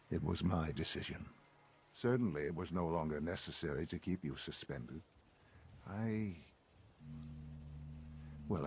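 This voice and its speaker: A man playing an elderly, coldly cerebral leader in a video game, speaking formally and deliberately with weighty pauses. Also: very deep voice, darkish tone, slight background noise. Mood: curious, measured. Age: older. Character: elderly, measured, coldly cerebral male leader of secretive institute. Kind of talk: dramatic video game dialogue.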